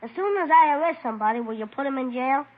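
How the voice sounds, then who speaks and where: Through a tinny old radio comes a high, childlike voice: an eager six-year-old boy. He is earnest and artless, a small witness in a vintage radio drama. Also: animated, quick pace, heavy background noise. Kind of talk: 1950s radio drama acting.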